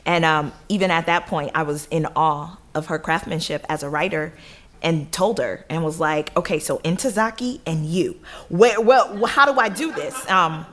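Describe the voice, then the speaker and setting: Low-pitched, effusive American woman. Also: moderate pace. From Detroit, United States. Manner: effusive, expressive, laughs easily. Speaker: theater director, interview guest. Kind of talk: casual onstage interview.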